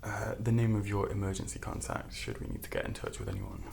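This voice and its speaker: A man speaks softly and gently as a doctor in an ASMR medical roleplay, reassuring and unhurried, at times dropping toward a whisper. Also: lowish voice, sing-song, creaky, a little hiss or hum. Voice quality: soft, hushed, at times whispered. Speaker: ASMR performer playing a doctor.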